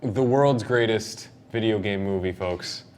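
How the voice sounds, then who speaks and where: Emphatic young man hosting, lowish voice. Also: a little slow. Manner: emphatic, opinionated. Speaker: host of a video game discussion show. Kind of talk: casual panel discussion. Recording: noisy room recording, steady background noise.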